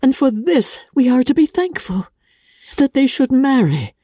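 A woman voicing a spirited, earnest young gentlewoman in a dramatic reading of a classic novel, with emphatic, formal period speech. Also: high-pitched, very animated, a little slow, dry recording, clean studio sound, voice pushed up, full character voice. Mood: bitter dismay.

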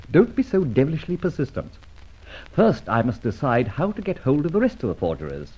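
Suave gentleman adventurer, husky, swooping intonation, debonair, amused, old radio serial. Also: medium pitch, wildly swooping pitch, fairly quick, muffled recording. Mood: teasing, gleeful. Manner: debonair, amused, theatrical phrasing.

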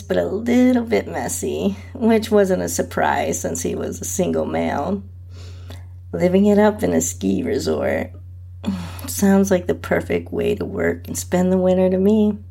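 A woman with a husky, breathy voice reading a first-person erotic story as its narrator. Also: on the low side, mellow. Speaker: podcast host and narrator.

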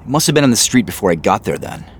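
An actor playing a wry, self-deprecating tech boss in an audio drama, conversational and playful yet guarded. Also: male voice, lowish voice, big pitch swings, quick pace, slight background noise. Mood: playful, amused. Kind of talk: naturalistic audio drama acting.

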